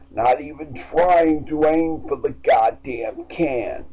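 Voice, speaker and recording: A man reads a grim first-person story at an unhurried, deliberate pace. His voice sits at an ordinary middle pitch. The delivery stays heavy and somber throughout. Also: darkish tone, rough recording. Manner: slow, somber, downbeat delivery. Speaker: storyteller reading his own short story.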